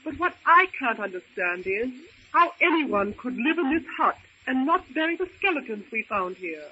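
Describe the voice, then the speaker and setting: Practical gracious young lady, sharp bright voice, polite, old radio drama, muffled recording. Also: high voice, moderate pace, a little hiss or hum, exaggerated voice. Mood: puzzled. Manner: polite, crisp, expressive. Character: practical, genteel young woman castaway, gracious.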